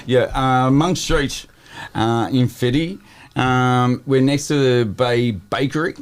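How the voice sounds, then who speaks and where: An Australian man banters as a guest co-host. His voice sits at a middling pitch. He is easygoing and joking, and he drops "mate" often. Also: slow, clean tone. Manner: jokey, laid-back, full of "mate". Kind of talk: casual banter.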